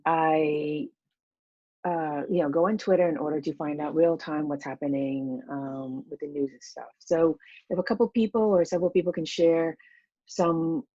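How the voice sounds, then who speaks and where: Low-voiced woman presenting online, filler-heavy. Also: mellow, no room sound, highs cut off. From Vietnam. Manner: conversational, many fillers like "um" and "you know". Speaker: teacher educator presenting. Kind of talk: conference presentation over video call. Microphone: computer mic on a video call.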